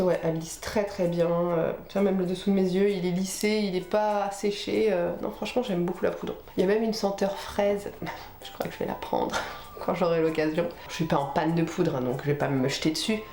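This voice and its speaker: A woman's voice: mid-range voice, a little mellow. A woman with a head cold chatting informally through a casual makeup tutorial as a beauty vlogger, talking while she works. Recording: roomy echo with music behind.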